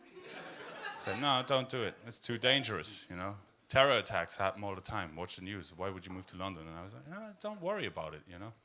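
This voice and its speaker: A man with an Austrian accent doing a stand-up set in a deadpan, darkly humorous way, full of fillers and hesitations. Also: on the low side, fairly quick, slight background noise. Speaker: stand-up comedian. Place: comedy club stage.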